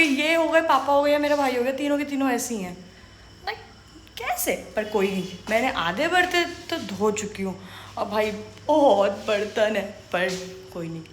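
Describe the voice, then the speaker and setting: A woman's voice: high-pitched, a bit bright, roomy sound, slight background noise. A young woman chatting casually in a home vlog, mixing English words into her Hindi while she does kitchen chores. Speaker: vlogger.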